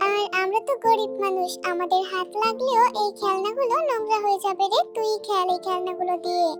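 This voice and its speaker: Casting: emotional little girl for kids' cartoon, extremely high voice, heavy music bed. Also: steady tone, fairly quick. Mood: reluctant. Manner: pleading, childlike. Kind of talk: children's cartoon acting. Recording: music behind the voice.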